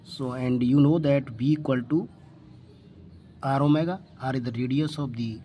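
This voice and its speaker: A man with an Indian accent tutoring physics, working through a problem step by step in deliberate, halting phrases. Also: medium pitch, mellow, a little hiss or hum. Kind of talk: step-by-step worked-problem lesson.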